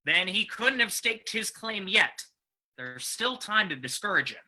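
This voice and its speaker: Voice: mid-range voice, very animated, unhurried, pushed and bright, heavily acted. An actor playing a ruthless, greedy male gold-rush crime boss in an old-time radio drama, snapping and domineering. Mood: scheming. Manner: hard-boiled, snapping, domineering.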